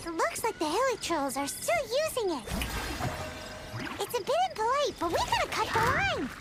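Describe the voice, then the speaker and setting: Tiny squeaky fairy guide, super high and bouncy, bossy game sidekick, music playing behind. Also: female voice, sing-song, normal speed. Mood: eager, bossy. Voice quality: squeaky, childlike. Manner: exclamatory, chirpy, refers to self by name. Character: small childlike fairy companion, excitable, bossy, helpful guide. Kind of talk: anime-style game voice acting.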